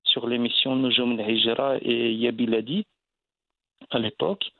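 A man from Belgium speaks in a gravelly, fry-laden voice, pausing often with fillers and restarts as he tells his story. The sound is dry and tinny, like a phone line, with no room around it. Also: medium pitch, flat delivery, no room sound. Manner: hesitant, many fillers and restarts. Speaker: actor, guest. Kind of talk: casual interview.

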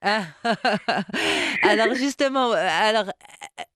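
A woman hosting a podcast who interviews her guest with lively, probing questions. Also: mid-range voice, takes it slowly, no echo, clean studio sound. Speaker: podcast host.

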